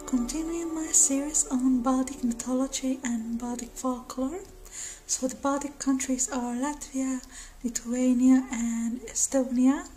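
A woman's voice: high-pitched, soft background music, poor audio. A woman in her thirties with a Finnish accent, hosting her own mythology channel and explaining folklore to camera in a casual, thoughtful way. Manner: slow, thoughtful, with soft laughs and small restarts. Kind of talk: casual educational talk to camera. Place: living room by a window.

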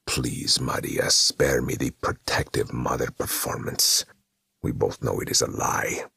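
A man voicing a sinister male demon who feeds on despair, speaking slowly and scornfully in a whispery voice. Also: very deep voice, fairly even intonation, creaky, pushed and bright, slightly rough, no echo, exaggerated voice. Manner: slow, cold and scornful.

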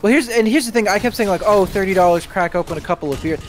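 A man co-hosting a game stream, chatting casually in an animated, opinionated way. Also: high voice, fast talker, faint music behind. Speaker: stream co-host. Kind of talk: casual chat over gameplay.

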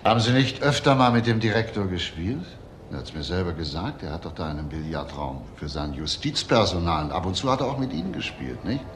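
Crime drama detective: shrewd and provocative, low-pitched, probing, pointed pauses, faint background noise. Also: male voice, animated. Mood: provoking, needling. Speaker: actor. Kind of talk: German TV crime drama acting.

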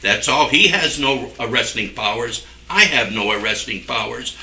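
Forceful older man in an echoey room. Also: medium pitch, expressive, normal speed. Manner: defensive, insistent. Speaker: town select board member. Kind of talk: argumentative speech at a public meeting. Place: wood-panelled municipal meeting room. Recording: echoey meeting room, distant microphone.

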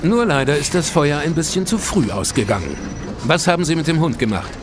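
An actor playing a formal, probing, sceptical police detective in an audio drama. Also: male voice, a little high, animated, brisk, natural voice. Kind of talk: German audio drama acting. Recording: noisy ambience behind.

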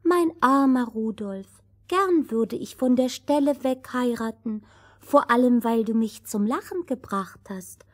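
A woman voicing a sympathetic young princess in a fairy tale, gentle and determined. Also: fairly high pitch, unhurried. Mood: pitying, tender.

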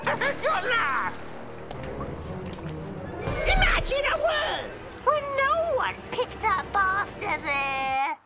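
A woman playing a menacing, gleeful dirty fairy monster in a comedy horror short, scolding and gloating theatrically in a shrill voice. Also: huge pitch swings, extremely slow, full character voice. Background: film music.

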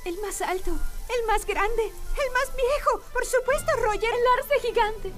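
Very high-pitched, earnest cartoon heroine. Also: female voice, unhurried. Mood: explaining. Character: brave, caring female animal hero leading a jungle rescue gang. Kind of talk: animated cartoon dub. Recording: music under the voice.

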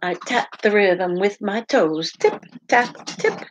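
A middle-aged woman teacher reading a picture book aloud to children, with deliberate sing-song emphasis on repeated words. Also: medium pitch, muffled recording, background noise. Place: home kitchen, indoors.

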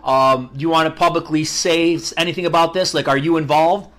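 A man's voice: fairly high pitch, fairly even intonation, fairly quick, a bit bright. A man hosting a rock podcast, upbeat and joking, often laughing as he talks, over canned crowd noise and music. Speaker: podcast host. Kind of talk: casual interview with jokes.

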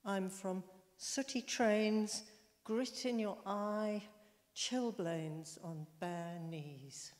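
A woman's voice: mid-range voice, very slow, a little mellow. A woman in her sixties reading her own poem on a concert stage, lingering on each image, into a handheld mic. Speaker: poetry reader. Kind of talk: deliberate poetry reading. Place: wood-panelled concert hall stage. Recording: echoey concert hall.

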